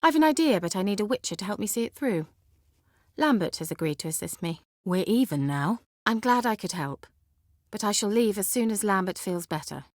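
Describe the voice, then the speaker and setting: An actress voicing a haughty, witty, sly sorceress in a video game, with an arch, formal and sardonic way of talking. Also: female voice, medium pitch, lively intonation, a little fast, a little mellow, no room sound, studio quality, natural voice. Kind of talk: video game character dialogue.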